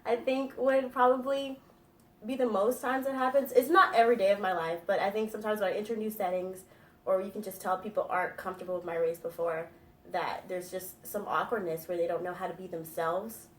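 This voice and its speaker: A woman's voice: medium pitch, steady tone, brisk. A woman in her twenties answering interview questions in a chatty, thoughtful way with many fillers. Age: 20s. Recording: roomy echo, from a distance, faint noise.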